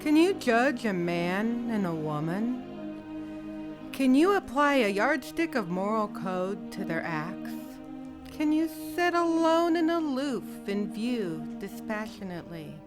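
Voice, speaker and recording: Radio play narrator: woman acting solemn, theatrical storyteller, deliberate pace, wide swoops of pitch, music beneath. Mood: solemn challenge. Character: solemn female narrator challenging the listener to judge lovers. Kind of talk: old-time radio drama narration.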